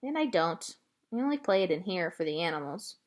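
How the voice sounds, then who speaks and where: A shy, lonely pet-store clerk in a storybook, acted out by a woman. He is a gentle man who talks in a halting, plain, folksy way, with a lively range of pitch. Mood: earnest, plain.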